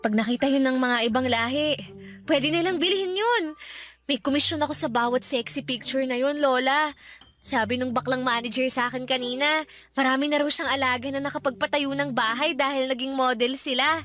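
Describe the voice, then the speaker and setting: An earnest eighteen-year-old Filipino granddaughter in a radio drama. Her voice is very high and bright, polite and slightly hesitant, with soft music underneath. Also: a little fast. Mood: hopeful.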